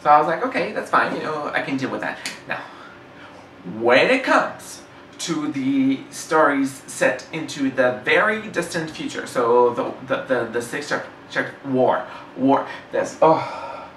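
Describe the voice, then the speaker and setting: Bright-voiced French-native man reviewing a book. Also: medium pitch, lively intonation, unhurried. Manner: rambling, self-correcting, enthusiastic. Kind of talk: casual book discussion. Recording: roomy, slightly distant mic, faint hiss.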